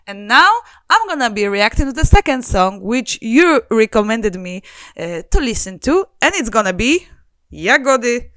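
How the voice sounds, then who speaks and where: Excitable Polish woman reacting to songs. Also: on the high side, clear, resonant tone. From Poland. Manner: excited exclamations, laughs and gasps. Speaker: YouTuber and music journalist. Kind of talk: song reaction video. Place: cozy living room with fairy lights, indoors. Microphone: handheld microphone.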